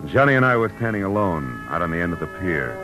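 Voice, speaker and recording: A man's voice: low-pitched, very animated, fast talker. A man playing a tough, wisecracking private detective in a classic radio noir drama, clipped and sardonic. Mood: brooding, tense narration. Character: tough, wisecracking hard-boiled male private detective narrating his case. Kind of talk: 1940s radio noir acting and narration. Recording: vintage radio broadcast with music.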